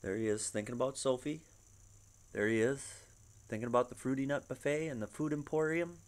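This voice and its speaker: A middle-aged man reading a children's picture book aloud at home in an expressive, dramatic storytelling manner. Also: mid-range voice, brisk. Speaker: storybook reader. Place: living room at home. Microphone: webcam at about arm's length.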